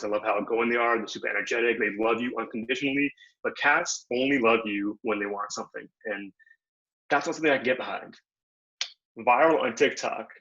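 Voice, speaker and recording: A man's voice: on the low side, pushed and bright. A young man from Bangladesh giving a casual, upbeat online workshop talk over a video call, full of slang and fillers. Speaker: workshop presenter. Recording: dull lo-fi video call audio.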